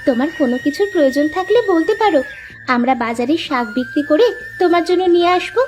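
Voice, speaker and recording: A woman's voice: high-pitched, lively intonation, quick pace, darkish tone, soft background music. A woman voicing a caring, dutiful young village daughter in an earnest, simple way for a moral-story cartoon. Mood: caring, concerned.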